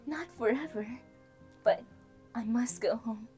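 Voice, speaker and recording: A woman voicing a shy, gentle young swan maiden in a hushed, wistful storybook manner. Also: fairly high pitch, measured pace, slightly rough, dull audio, pitched above the performer's own voice. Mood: tender farewell. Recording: music under the voice.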